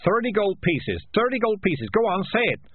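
Man putting on a loud, high, heckling scruffy cat. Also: big pitch swings, normal speed, husky, no echo, phone-quality sound, heavily acted. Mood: exasperated. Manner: loud, shouting, impatient.

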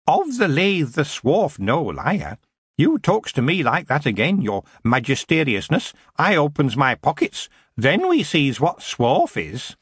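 Defiant goblin, cheeky and ungrammatical, played by a man, pitched up and springy. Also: wildly swooping pitch, measured pace, husky, no echo, studio quality, exaggerated voice. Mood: threatening. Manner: broken grammar, cocky, mocking. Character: cheeky stocky male goblin, defiant and proud.

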